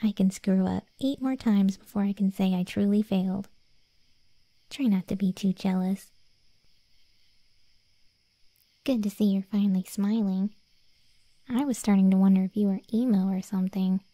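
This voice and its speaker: A woman voicing a smug, conceited undead cat girl in a soft, teasing ASMR roleplay. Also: mid-range voice, no echo, lightly acted. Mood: teasing, friendly. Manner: soft, teasing, self-important. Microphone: close, dry studio-style mic.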